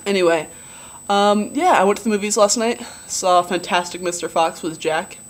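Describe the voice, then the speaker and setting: A young man with a relatively low voice giving a casual video diary update, rambling with many ums and likes. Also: moderate pace. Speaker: vlogger.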